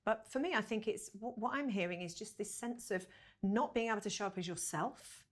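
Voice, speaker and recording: Woman, podcast guest, reflective, repeats words while thinking, mid-pitched voice. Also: brisk. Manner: reflective, repeats words as she builds a point. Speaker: podcast guest, vocal coach. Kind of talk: casual conversation.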